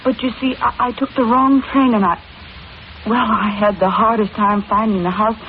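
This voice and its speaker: A woman's voice: on the high side, steady tone, normal speed, natural voice. A muffled, slightly noisy vintage radio recording of an actress playing a meek young woman. She is earnest and deferential, a little hesitant, with the careful politeness of old radio drama. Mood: meek, eager. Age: young adult.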